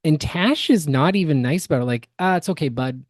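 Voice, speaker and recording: A man's voice: a little high, lively intonation, brisk, dry recording, clean studio sound. A man co-hosting a podcast, giving analytical, opinionated reaction commentary with emphatic delivery. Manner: analytical, emphatic, builds word lists. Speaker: podcast co-host.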